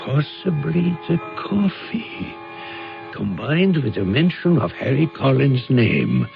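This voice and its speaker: Suave, theatrical older European count. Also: male voice, medium pitch, very animated, takes it slowly, creaky, full character voice. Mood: musing. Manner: courtly, theatrical, teasing. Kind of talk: 1970s radio drama acting and narration. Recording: dull old radio broadcast with music.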